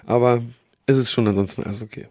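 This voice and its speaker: A man who is sick and hoarse, co-hosting a podcast in casual chat, often halting to search for words. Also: medium pitch, fairly even intonation, a little mellow, dry recording, clean studio sound. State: hoarse from a cold. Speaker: podcast co-host.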